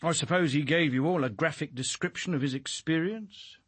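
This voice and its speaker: An actor playing a shrewd police inspector, probing and dryly insinuating, with a British accent in a radio drama. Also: male voice, fairly high pitch, normal speed, a bit bright, breathy edge, no echo. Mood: needling, sardonic. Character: shrewd, needling male police inspector questioning a suspect.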